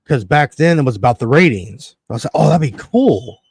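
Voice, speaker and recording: A man in his thirties from Connecticut, hosting a casual wrestling podcast, excitable and quick to swear and laugh. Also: mid-range voice, gravelly, slightly rough, no room sound, clean studio sound. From Connecticut, USA. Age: 30s. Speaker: podcast host.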